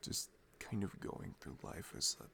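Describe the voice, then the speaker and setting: A man playing an affectionate, reflective boyfriend in an intimate ASMR roleplay, speaking softly and tenderly with hesitant pauses. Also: slight background noise. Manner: soft, hesitant, tender, pausing between phrases.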